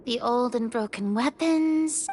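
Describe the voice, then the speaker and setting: A woman's voice: a little high, slow pace, noisy background. A woman playing an earnest, thoughtful young companion in a video game, polite and articulate. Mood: realizing.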